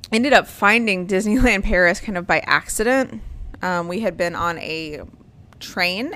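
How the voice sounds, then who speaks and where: A woman's voice: mid-range voice, a bit bright. A woman, hosting a casual chat and rambling with lots of ums and likes.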